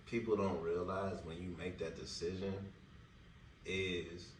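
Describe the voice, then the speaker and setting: A man's voice: deep voice, level tone, unhurried. A man around thirty co-hosting a podcast, talking things through in casual chat with loose slang and many fillers. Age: 30s. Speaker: podcast co-host. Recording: echoey room, distant mic, noisy background.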